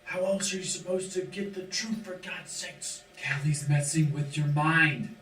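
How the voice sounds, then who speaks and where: Guy playing bossy impatient young friend at a table read, high voice, echoey, far off mic. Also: level tone, a little slow, fairly bright tone. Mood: impatient urging. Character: pushy, impatient young male friend egging on. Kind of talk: script table read acting.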